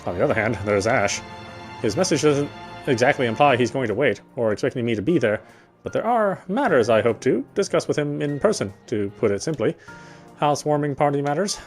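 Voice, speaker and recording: Male game player as narrator: rapid read-aloud delivery with occasional stumbles, music underneath. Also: medium pitch, sing-song. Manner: stumbles and rereads phrases. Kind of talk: reading visual novel narration aloud.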